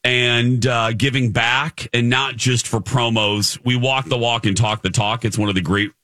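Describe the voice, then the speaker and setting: Male morning radio host, bright voice, teasing casual banter, studio setting. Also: mid-range voice, steady tone, moderate pace, no echo.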